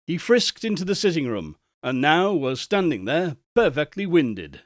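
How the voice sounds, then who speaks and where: A man narrating a Victorian story as a theatrical, expressive audiobook reader. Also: a little high, normal speed, dry recording, clean studio sound.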